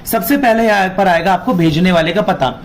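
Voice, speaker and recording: High-pitched man teaching, sore throat. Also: brisk. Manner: instructive, repeats and rephrases key points. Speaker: law teacher running an online class. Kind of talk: informal explanatory exam lesson, Hindi mixed with English terms.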